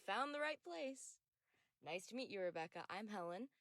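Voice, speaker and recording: An actress playing a courteous, businesslike institute receptionist in an audio drama. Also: female voice, mid-range voice, lively intonation, moderate pace, fairly bright tone, no echo. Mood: welcoming. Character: polite, efficient female receptionist at an institute.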